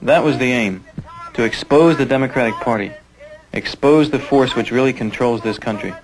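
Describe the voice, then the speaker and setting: Give me a man narrating a documentary calmly, over hiss from an old film soundtrack. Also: medium pitch, moderate pace.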